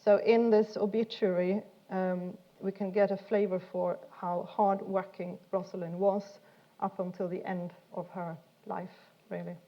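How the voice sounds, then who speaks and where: A woman's voice: medium pitch, little pitch movement, measured pace, dull audio. A woman giving an informal science lecture in an explanatory, hesitant way, often repeating words as she goes. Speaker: speaker giving a talk.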